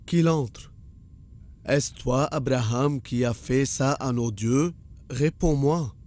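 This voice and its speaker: A man's voice: mid-range voice, slow pace, a little mellow, natural voice. A man playing an arrogant, menacing tyrant king in a religious cartoon, imperious and commanding. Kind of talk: dubbed religious cartoon acting.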